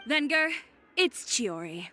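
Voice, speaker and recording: A woman's voice: a little high, sing-song, takes it slowly, sharp tone, slight background noise, heavily acted. A woman voicing a proud, blunt young fashion designer in a video game, businesslike and assertive.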